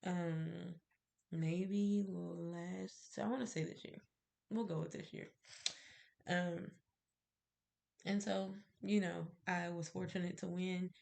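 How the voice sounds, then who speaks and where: A woman's voice: on the low side, vocal fry, darkish tone. A woman with a stuffy cold, sounding nasal, chatting casually to camera about her candle-making and rambling with fillers and little laughs. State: stuffy, congested.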